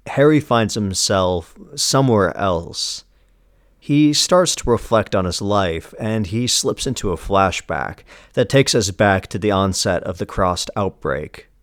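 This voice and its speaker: Male narrator, low-pitched voice, grave and deliberate, scripted story recap, very dry close sound. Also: no room sound.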